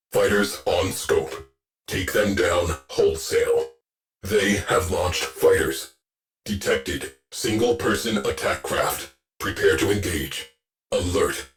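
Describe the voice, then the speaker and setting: A very low-pitched stoic ship AI from a science fiction game. The delivery is slow, clipped and deadpan, like terse tactical reports from a protective battle computer. Faint music sits underneath. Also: male voice, low-quality recording. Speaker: ship tactical AI announcing combat. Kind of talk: scripted video game voice lines.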